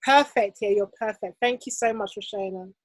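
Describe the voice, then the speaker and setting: A woman's voice: mid-range voice, brisk, no room sound. A woman hosting an online interview as a fashion business coach, talking in an upbeat, enthusiastic way with many fillers.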